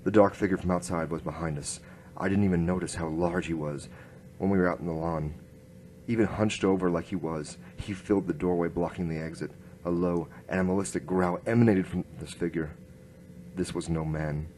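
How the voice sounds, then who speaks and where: A man playing a grim, hard-boiled noir inspector, narrating his case in clipped, deadpan radio-drama style. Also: low voice, a little fast, a little hiss or hum, natural voice. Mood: tense, suspenseful. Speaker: narrator and lead actor.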